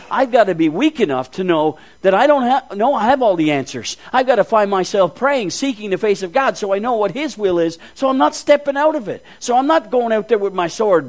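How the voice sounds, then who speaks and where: A man preaching a storytelling sermon, acting out scenes with folksy asides and swinging from loud emphasis to hushed, quiet lines. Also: sits high, animated, fairly quick, few pauses.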